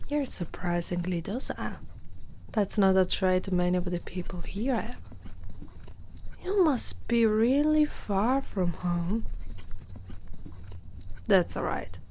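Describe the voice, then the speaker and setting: A woman acts out a gentle, curious centaur girl in an ASMR roleplay. Her voice is mellow and soft, slipping often into breathy whispers. She speaks intimately and protectively, as if to someone close by. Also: mid-range voice, lively intonation, slow pace, pauses often, slight background noise. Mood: reassuring. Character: kind, curious young female centaur, protective of a human.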